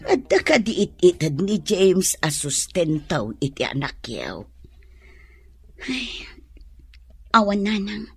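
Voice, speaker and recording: A woman's voice: fairly low pitch, very animated, slow pace, pauses often, husky, heavily acted. An actress with an Ilocano accent playing a weary but determined young mother, emotional and sighing, in a radio melodrama. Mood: weary, resolute. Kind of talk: melodramatic Filipino radio drama acting.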